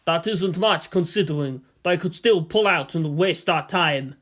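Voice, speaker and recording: A man's voice: on the high side, measured pace, a bit bright. A man voicing an aged, shrewd premier in a story reading, formal, questioning and skeptical. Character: aged, experienced male statesman, suspicious and shrewd premier.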